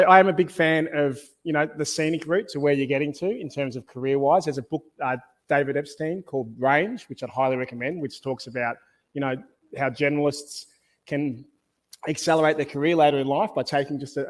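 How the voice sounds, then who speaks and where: Man, panel moderator, upbeat, encouraging, somewhat high pitch, conference stage. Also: a little fast.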